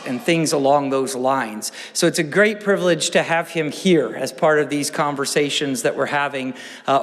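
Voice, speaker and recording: A man acting as moderator, courteously introducing the guest speaker with some fillers. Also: on the high side. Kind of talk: formal introduction. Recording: lecture hall with long reverb.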